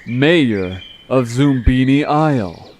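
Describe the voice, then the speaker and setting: A man plays a scheming monster villain who leads his gang with slow, sarcastic condescension. He talks in an unhurried drawl, as in an audio drama. Birdsong and steady noise sit behind the voice. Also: mid-range voice. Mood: menacing, condescending. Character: manipulative male Fleen gang leader, vengeful, sarcastic monster villain. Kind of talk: scripted audio drama acting. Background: birdsong, forest ambience.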